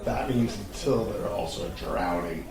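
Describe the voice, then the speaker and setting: Man playing cynical, war-weary soldier, slow and low, sardonic and blunt, audio drama pub scene with crowd chatter. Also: vocal fry. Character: world-weary cynical male soldier back from border duty. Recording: distant, very echoey, crowd noise behind.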